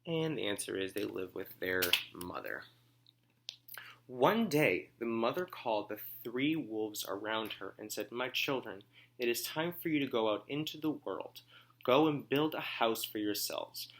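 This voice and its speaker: A man reading a story aloud as a teacher, phrasing it deliberately and simply for children and pausing to ask comprehension questions. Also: medium pitch, lively intonation, a bit bright, a little hiss or hum.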